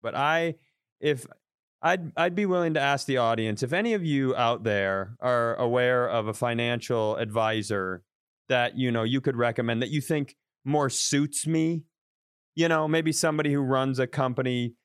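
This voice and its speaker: Comic guest riffing, halting restarts, dry close mic. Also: male voice, mid-range voice, clear, resonant tone, dry recording. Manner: halting restarts building up bits. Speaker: comedian guest. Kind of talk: casual comedic riffing.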